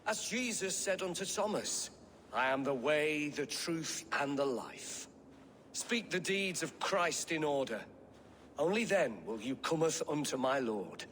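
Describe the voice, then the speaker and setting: Preachy, haughty medieval priest. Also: male voice, fairly high pitch, lively intonation, measured pace, a bit bright, a little hiss or hum. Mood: solemn, testing. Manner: formal, preachy, condescending.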